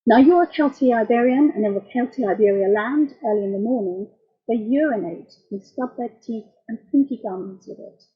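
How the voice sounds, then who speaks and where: A middle-aged woman lecturing as a translator, explaining jokes in a wry, measured way. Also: a little high, highs cut off. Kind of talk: academic talk.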